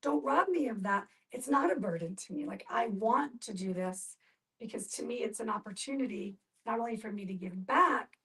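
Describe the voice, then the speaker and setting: A woman's voice: a little high, animated, moderate pace, fairly bright tone. A woman speaking earnestly as a participant in a group discussion. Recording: distant mic in a room.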